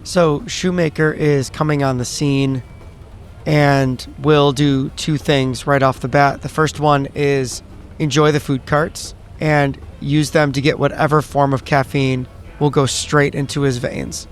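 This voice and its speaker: A man's voice: medium pitch, little pitch movement, unhurried, faint music behind. A man who is a player in a tabletop roleplaying game, describing what his character does.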